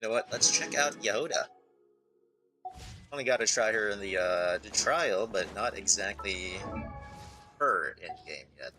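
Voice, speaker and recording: A man's voice: fairly low pitch, lively intonation, measured pace, long pauses. A man streaming a game, talking casually as he thinks aloud, reads out on-screen text and asks himself questions. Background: music.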